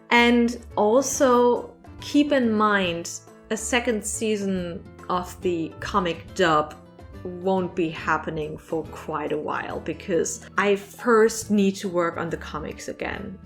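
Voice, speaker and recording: Female vlogger, mid-pitched, informal and rambling, self-deprecating channel host chatting to camera, music bed underneath. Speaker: online creator and channel host. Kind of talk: casual chatty Q&A vlog to camera.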